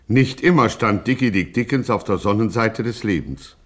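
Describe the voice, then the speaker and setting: An actor playing a grandiloquent narrator of a gangster satire, speaking in a pompous, mock-solemn way. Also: male voice, lowish voice, lively intonation, moderate pace. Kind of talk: scripted satirical radio narration.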